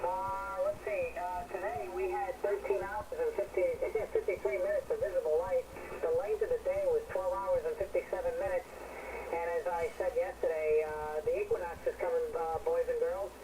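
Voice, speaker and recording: A man's voice: a little high, sing-song, moderate pace. A man checking in on amateur radio, giving a jovial, rambling, folksy report over a shortwave link. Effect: shortwave radio transmission. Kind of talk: casual report and anecdote over ham radio. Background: radio noise.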